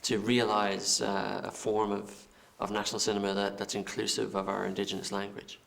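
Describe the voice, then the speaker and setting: Irish man, low and gravelly, flat delivery, measured, halting interview speech. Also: a little hiss or hum. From Ireland. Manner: halting, repeats words while thinking. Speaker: film director. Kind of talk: interview answers.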